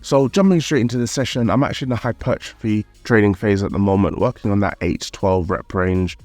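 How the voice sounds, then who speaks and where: Male fitness vlogger, lowish pitch, slightly nasal, candid and upbeat voice-over, dry close mic with quiet music. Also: a little fast, no room sound. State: nasal, recovering from a cold. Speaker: calisthenics vlogger. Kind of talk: voice-over vlog narration.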